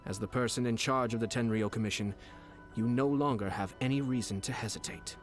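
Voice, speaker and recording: An actor playing a calm, shrewd noble commissioner in a video game, speaking in a measured, formal, persuasive way. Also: male voice, mid-range voice, natural voice. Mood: shrewd, composed. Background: game music.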